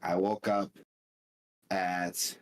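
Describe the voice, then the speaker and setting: A young man co-hosting a podcast, talking in a low, groggy, somewhat flat voice and rambling with lots of "like" and swearing. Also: drawn-out words. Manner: rambling, full of "like" fillers and swearing. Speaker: podcast co-host. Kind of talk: casual chat.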